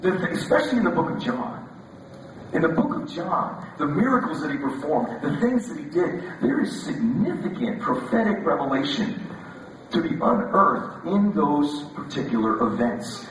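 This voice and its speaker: Male preacher, scratchy voice, loose and joking. Lively pitch, heavy hall echo. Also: normal speed. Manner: casual and joking, repeats words as he restarts. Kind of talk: relaxed, conversational sermon. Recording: echoey hall, voice from far off.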